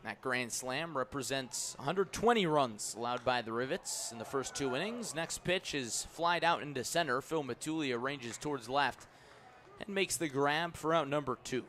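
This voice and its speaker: A man working as a radio play-by-play announcer, calling live baseball in crisp, clipped phrases. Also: mid-range voice, moderate pace, fairly bright tone, a little hiss or hum.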